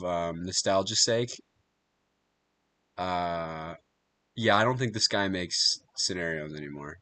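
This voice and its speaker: A man's voice: on the low side, a bit bright. A man streaming casual reactions to videos, talking in slangy, easily amazed bursts with some swearing. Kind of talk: casual live reaction chat.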